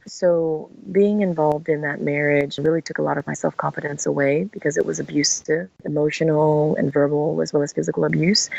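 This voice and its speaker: A woman's voice: low voice, vocal fry, a little mellow, no room sound. A woman in her forties, originally from Vietnam, answering interview questions in an earnest, reflective way with some hesitations. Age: 40s. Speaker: guest. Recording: dry, muffled lo-fi sound.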